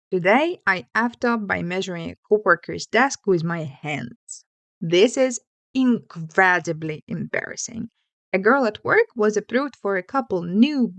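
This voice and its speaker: A woman with a fairly high voice reads online stories as a host. Her intonation swings widely and playfully, with casual asides mixed in. The sound is dry and close, free of room echo. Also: darkish tone. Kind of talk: expressive story reading with casual asides.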